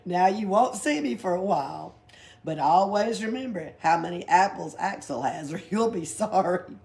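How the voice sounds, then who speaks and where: A woman's voice: lowish voice, lively intonation, moderate pace, creaky, darkish tone. A woman voicing a cheeky, exuberant young girl who tells tall tales in a children's storybook, gleeful, emphatic and often giggly. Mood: gaily teasing. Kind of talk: children's storybook read aloud.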